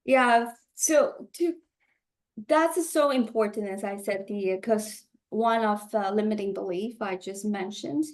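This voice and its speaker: A woman guest coach speaks on a video call at a mid pitch. She talks slowly and haltingly, with fillers and the odd light laugh. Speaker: money mindset coach. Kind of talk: guest interview. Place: Vancouver, Canada.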